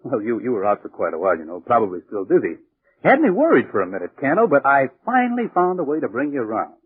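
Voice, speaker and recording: An easygoing pilot looking after a friend in an old radio thriller. His voice is very husky and swings up and down in a lively, colloquial way, on a tinny vintage recording. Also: mid-range voice, fast talker. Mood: casual, reassuring. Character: casual, solicitous pilot watching over his friend. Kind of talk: 1940s radio drama acting. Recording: tinny band-limited 1940s radio recording.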